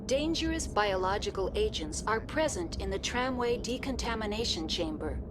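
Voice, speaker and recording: A woman playing a calm, procedural computer intelligence in a video game, speaking in formal, emotionless announcements. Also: medium pitch, moderate pace, noisy background, lightly acted. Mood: impassive. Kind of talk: scripted video game voice acting.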